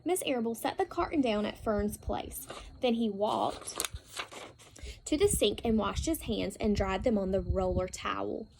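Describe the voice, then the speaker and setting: Lady reading a kids book out loud, crackly creaky voice, highish, bit of background noise. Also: a little slow. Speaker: storybook reader. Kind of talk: reading a children's story aloud.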